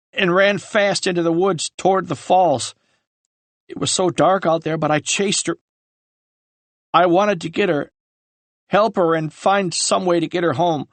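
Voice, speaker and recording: A man voicing a guilt-ridden young man who confesses haltingly, on the edge of tears. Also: high-pitched, no echo. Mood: anguished. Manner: halting, breaking with remorse. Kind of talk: audiobook character reading.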